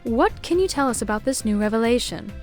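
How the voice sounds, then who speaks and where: High-voiced woman acting as a formal news anchor. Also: animated, soft background music, lightly acted. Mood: formal, composed. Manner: formal, measured broadcast delivery. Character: polished female TV news anchor. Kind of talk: scripted news reporting.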